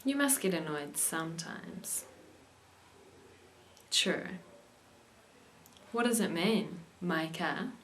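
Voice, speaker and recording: A young woman hosting an English lesson show and reading the news in a clear, steady way. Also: on the low side, slow, long pauses, slight background noise. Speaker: English teacher and show host.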